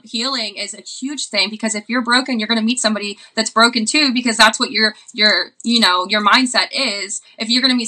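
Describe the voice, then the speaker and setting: Earnest woman with a very bright, forceful voice. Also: medium pitch, low-quality recording. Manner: earnest, impassioned, repetitive phrasing.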